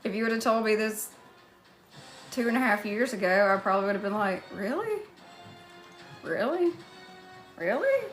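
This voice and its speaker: Chatty woman live streamer, bright voice. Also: mid-range voice, flat delivery, quick pace, long pauses, faint music behind. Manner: chatty, thanks viewers by name between stories. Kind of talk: casual live-stream chat with viewers.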